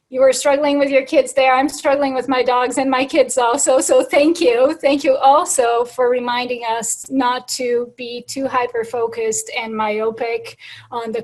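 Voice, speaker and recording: A hoarse woman host thanking panelists and summing up an online panel over a video call, with frequent fillers. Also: a little high. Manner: thankful, summarizing, with many fillers. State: hoarse, losing her voice. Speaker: host closing a panel forum. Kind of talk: closing remarks at an online panel.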